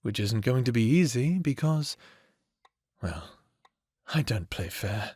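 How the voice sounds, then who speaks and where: A man's voice: medium pitch, lively intonation, slow, darkish tone, husky, no room sound, clean studio sound. A man acting a sadistic, playful vampire villain who taunts theatrically in an audio drama. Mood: gleefully menacing. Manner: taunting, theatrical, toying with words.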